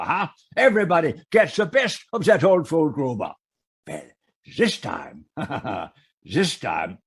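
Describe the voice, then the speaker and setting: An actor playing an aggrieved old widowed farmer with a German accent and a husky, halting delivery in a Western radio drama. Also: male voice, a little high, full character voice. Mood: bitter, gloating.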